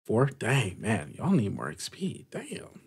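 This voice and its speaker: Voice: mid-range voice, huge pitch swings, slow, husky. A game master hosting a tabletop session in an informal, slangy, encouraging way, chatting casually with the players.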